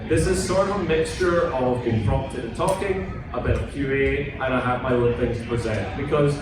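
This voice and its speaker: A man's voice: medium pitch, normal speed. A man with a Scottish accent, talking casually as an author on a convention panel, rambling and self-deprecating. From Scotland. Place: convention hall. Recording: echoey hall, distant mic, noisy.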